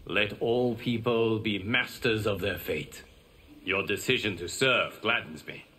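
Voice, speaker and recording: An actor voicing a calm, noble lord and mentor in a video game, speaking with formal assurance. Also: male voice, on the low side, unhurried, slight background noise. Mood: resolute.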